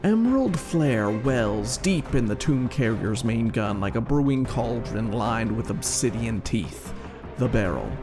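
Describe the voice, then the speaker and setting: A man delivers dramatic scripted narration at an unhurried pace. His voice is gravelly with fry and swings expressively through the phrases, with soft music underneath. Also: fairly low pitch. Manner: measured, theatrical. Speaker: game lore reader and streamer.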